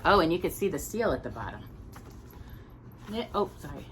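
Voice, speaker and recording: A woman hosting a storytime, reading a picture book aloud in an expressive, chatty way with casual asides. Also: low voice, moderate pace, slight background noise, low-quality recording. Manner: expressive, chatty, stumbles and corrects herself.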